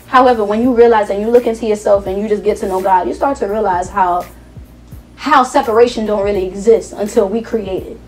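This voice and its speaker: A woman's voice: medium pitch, a little fast, a little mellow, soft background music. A woman from New York, a guest musician, who speaks emphatically and a little preachily in a talk-show conversation. She repeats herself and fills her speech with fillers. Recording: distant mic with faint noise.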